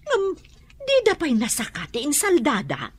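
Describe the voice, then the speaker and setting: A talkative neighbor who loves to gossip. Her voice is fairly high and moves around a lot in pitch. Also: huge pitch swings, measured pace, exaggerated voice. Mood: gossipy. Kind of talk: radio drama acting.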